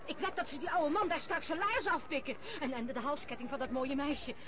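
Outspoken townswoman for radio drama female actor, indignant, excitable, high-pitched, noisy street setting. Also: brisk, lightly acted. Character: feisty, indignant townswoman in a looted city. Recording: noisy radio play, street rumble behind.

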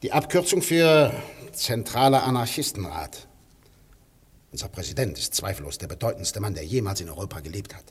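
An actor in a radio play playing a proud, conspiratorial anarchist poet with a rough, husky voice and a declamatory, intense manner. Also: male voice, fairly low pitch, sing-song, normal speed, full character voice. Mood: solemn, boastful. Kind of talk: German radio play acting.

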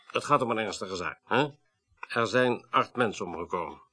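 An actor playing a firm police detective in a radio play, formal, measured and slyly insistent. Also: male voice, on the low side, animated, pushed and bright, breathy edge, full character voice. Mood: stern. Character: firm, politely pressing male police detective. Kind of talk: 1980s Dutch radio play acting.

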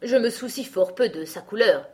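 A woman's voice: mid-range voice, expressive, normal speed, voice pushed up, close to an everyday voice. Woman voicing a proud, bossy talking tiger lily. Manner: commanding, scolding. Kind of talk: children's storybook reading.

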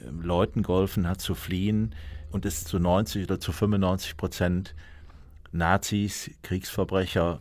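A man giving unscripted expert commentary, deliberate and searching for precise wording as he explains. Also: low voice, a little mellow, no room sound.

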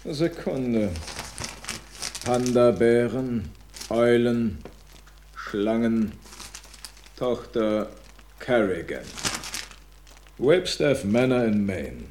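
Casting: brisk, dismissive male notary, mid-pitched with gravelly fry, dry radio-play official, slight background noise. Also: animated, darkish tone. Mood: official, flat. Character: dry, unbothered male notary reading a will. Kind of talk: dramatic radio play acting.

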